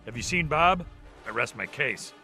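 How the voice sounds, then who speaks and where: An actor playing a jaded, wisecracking ancient monster in a video game, with a sarcastic, slangy, world-weary delivery. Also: male voice, medium pitch, animated, moderate pace, creaky, bright, forceful tone, faint music behind, heavily acted. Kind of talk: animated video game voice acting.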